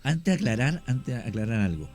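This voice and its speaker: A man's voice: mid-range voice, sing-song, moderate pace, darkish tone, faint music behind. A middle-aged man with a Chilean accent, a guest on a radio show, telling his story in a rambling, self-correcting, explanatory way. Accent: Chilean Spanish. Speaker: guest, longtime cemetery worker.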